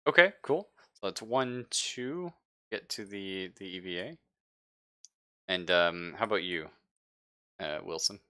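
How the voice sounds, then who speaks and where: A man running a tabletop roleplaying game, describing scenes to players in a halting, conversational way. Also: deep voice, expressive, pushed and bright, smooth. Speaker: game master. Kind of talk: improvised narration of a live tabletop roleplaying game.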